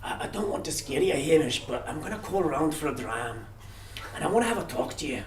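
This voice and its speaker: A man voicing a hooded Death figure in a soft, put-on manner, forever trying to get a word in. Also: on the high side, lively intonation, fairly quick, a little hiss or hum, natural voice. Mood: wheedling. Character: hooded Death, patient but persistent, male. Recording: echoey room from far off.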